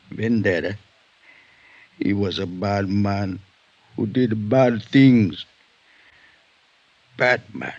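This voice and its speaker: Slow, gravelly old Italian patriarch. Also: male voice, fairly low pitch, heavily acted. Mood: weary, grim. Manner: halting, broken English, brooding. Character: old Italian patriarch, vengeful, stubborn, unrepentant. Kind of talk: 1950s radio drama acting. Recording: dull vintage 1950s radio recording.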